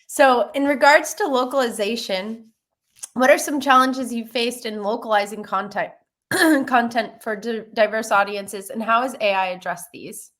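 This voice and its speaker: A woman's voice: a little high, normal speed, fairly bright tone. A woman hosting a webinar interview, giving brief acknowledgements and then putting prepared questions to her guest. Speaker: webinar host.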